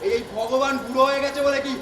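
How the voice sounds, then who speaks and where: A man's voice: extremely high pitch, level tone, racing. An actor playing a flustered, self-important old God in a comic stage play, stammering and repeating himself, pompous when he addresses a crowd. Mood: blustering. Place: theatre stage at a drama festival. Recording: echoey distant stage recording, noisy audience.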